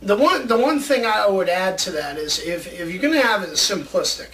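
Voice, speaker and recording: A man co-hosting a casual chat show, pitching ideas with a fan's enthusiasm. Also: expressive, normal speed, sharp tone. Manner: enthusiastic, fannish. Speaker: co-host of a movie ideas show. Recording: distant mic with faint background noise.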